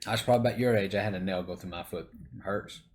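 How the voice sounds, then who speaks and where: A man's voice: sits low, rapid-fire, a little mellow, slight background noise. A middle-aged man hosting, chatting casually and teasingly with his son while they watch wrestling footage. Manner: teasing, chatty, laughs easily.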